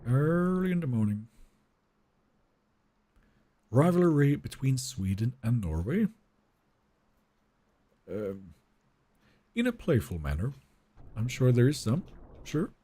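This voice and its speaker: Lively, husky-voiced man streaming a game. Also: mid-range voice, slow pace, long pauses. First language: Finnish. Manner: casual, chatty, answers viewers' messages. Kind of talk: live gameplay stream, reading chat aloud.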